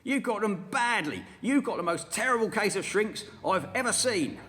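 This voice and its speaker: A man's voice: high-pitched, lively intonation, moderate pace, pushed and bright, big-room sound, pitched above the performer's own voice. A man voicing a nasty old man who gloatingly taunts his wife in a storybook reading. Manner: taunting, mock-solemn.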